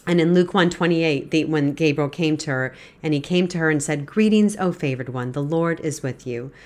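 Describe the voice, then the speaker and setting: A woman in her fifties with a congested, stuffy voice, gently teaching a devotional Bible lesson on her podcast. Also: low-pitched, moderate pace. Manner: earnest, gentle, throat-clearing. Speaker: podcast host.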